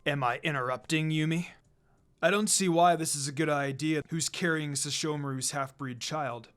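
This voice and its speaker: A man voicing a cocky young male swordsman with a smooth, casual manner. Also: on the high side, moderate pace, a bit bright, soft background music, close to an everyday voice. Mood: smug.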